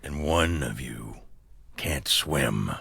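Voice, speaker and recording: A menacing slasher with an extremely low, rasping, crackling voice. He takes his time over every phrase and sounds weary and deadpan, like a monster turned sensible adviser in a comic horror audio play. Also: full character voice. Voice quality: gravelly, raspy, rumbling. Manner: slow, deliberate, deadpan. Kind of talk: comedic horror audio sketch.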